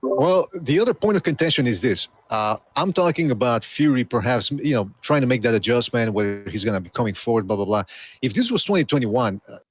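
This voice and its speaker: A man's voice: mid-range voice, animated, a little fast, breathy edge, low-quality recording. A man co-hosting a boxing podcast, talking in a rambling casual way with many restarts. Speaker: podcast co-host.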